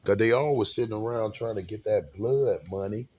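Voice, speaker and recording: Forties male, lower pitch, grandiose rambling monologue with many restarts. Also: moderate pace, a little mellow. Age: 40s. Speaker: vlogger.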